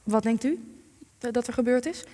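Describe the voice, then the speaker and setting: A woman's voice: on the high side, moderate pace, mellow, natural voice. An actress playing an earnest young female interviewer in a radio play, asking careful, sympathetic questions. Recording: long hall-like reverb.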